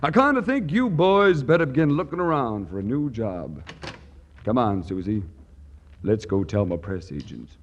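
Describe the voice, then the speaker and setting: An actor playing a dim, self-important cowboy movie star with folksy, repetitive talk in a 1940s radio comedy. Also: male voice, mid-range voice, big pitch swings, highs cut off, natural voice. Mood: bossy, smug. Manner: folksy, slow-witted, repetitive drawling talk. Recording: faintly noisy old radio recording.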